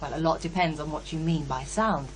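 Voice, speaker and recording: An actress playing a candid, sceptical psychiatrist in a radio drama, with a hesitant, thoughtful way of talking. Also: female voice, low-pitched, brisk, slight background noise, close to an everyday voice. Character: brisk, candid female psychiatrist, sceptical yet unsettled. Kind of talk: naturalistic radio drama dialogue.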